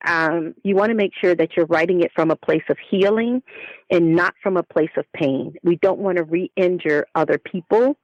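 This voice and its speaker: A woman's voice: on the low side, normal speed, no echo, lo-fi sound. A woman giving reflective interview answers as a guest author, speaking in an earnest, emphatic and devout way.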